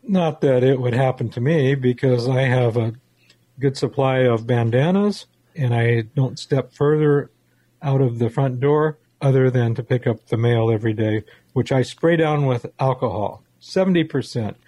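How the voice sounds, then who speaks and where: A man's voice: mid-range voice, moderate pace, dark tone, dry recording. An American man in his sixties, reminiscing conversationally as co-host of a talk show. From United States. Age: 60s.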